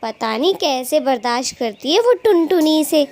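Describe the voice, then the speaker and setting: A woman's voice: high-pitched, expressive, normal speed, noisy background. A woman voicing a gossipy, scheming female bird thief who dotes on her husband, in a dramatic, exclamatory children's cartoon manner. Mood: spiteful, scolding. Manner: dramatic, exclamatory, scolding and fawning. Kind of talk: Hindi children's cartoon dialogue.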